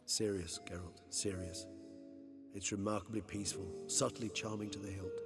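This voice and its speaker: An urbane, erudite immortal from a fantasy video game. His voice sits on the low side, and he phrases things ornately, with philosophical wryness. Music is clearly heard behind him. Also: level tone, moderate pace. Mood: wry admiration. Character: urbane, philosophical male immortal friend, wry and refined.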